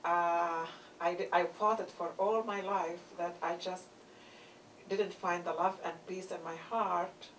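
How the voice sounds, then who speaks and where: A woman's voice: on the low side, steady tone, a little slow. A woman from Lebanon speaking up from the audience, earnest and heartfelt. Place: church conference hall. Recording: distant recording with faint noise.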